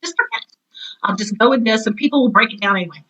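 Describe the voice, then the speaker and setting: A middle-aged woman chatting casually and enthusiastically as an interview guest, telling stories in an animated way and acting out what people said. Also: fairly high pitch, very fast, low-quality recording. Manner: animated storyteller, acts out quoted remarks. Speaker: interview guest, drama teacher and author.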